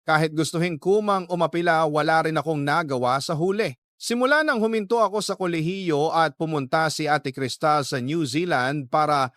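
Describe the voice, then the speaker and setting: A man's voice: on the high side, moderate pace, fairly bright tone, dry recording, studio quality. A man reading a listener's letter aloud as dramatic story narration, as the host of a radio drama programme.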